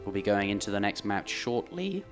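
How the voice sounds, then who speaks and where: A man's voice: lowish voice, big pitch swings, moderate pace, over music. A man casting a live esports match in a studio, chatty, his voice strained after days of commentary. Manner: chatty, reads viewer messages aloud. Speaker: esports caster.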